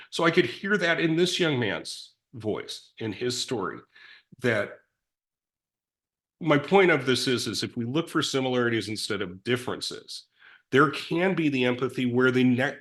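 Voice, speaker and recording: A middle-aged man in recovery telling his story in a reflective, rambling way with many restarts and self-deprecating asides. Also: lowish voice. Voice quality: big loud voice. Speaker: guest in recovery.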